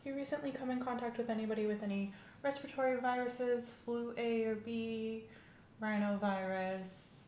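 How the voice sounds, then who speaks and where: A woman's voice: a little high, fairly even intonation, normal speed, a little mellow, close to an everyday voice. A woman playing a courteous, reassuring doctor in an ASMR medical roleplay, asking methodical questions in a polite, professional way. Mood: calm, attentive. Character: courteous, reassuring female doctor doing a checkup. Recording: roomy sound with faint background noise.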